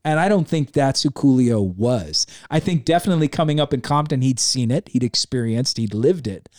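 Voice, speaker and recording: A man co-hosting a podcast, talking casually and reflectively, his voice slightly hoarse. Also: medium pitch, moderate pace, no echo, studio quality. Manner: relaxed, reflective, swears casually. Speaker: podcast co-host.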